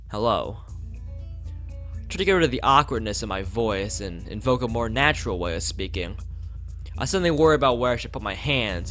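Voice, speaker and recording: A man's voice: on the low side, a bit bright, no room sound. A man streaming a visual novel, chatting casually and reading the text aloud, sometimes stumbling over and repeating phrases. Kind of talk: casual game commentary and read-aloud of on-screen text. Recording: music playing behind the voice. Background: game music.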